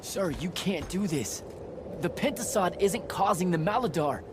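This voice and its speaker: A man's voice: sits high, animated, measured pace, music in the background. A man playing a brash young battlemage hero in a video game, urgent, blunt and casual in his delivery. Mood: urgent, earnest. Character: young human male hero, brash battlemage, pleading and defiant. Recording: noisy game sound behind voice.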